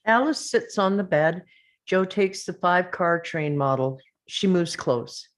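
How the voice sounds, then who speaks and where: A woman reading the action and description at a script table read over a video call, in a measured, plain way. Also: lowish voice, expressive.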